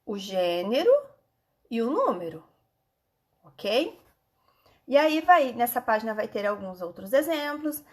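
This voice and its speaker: A Brazilian woman with a fairly high-pitched voice speaks as a schoolteacher in an online lesson. She walks children through textbook exercises in an instructive manner, often pausing to check that they follow. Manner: instructive, frequent check-in questions.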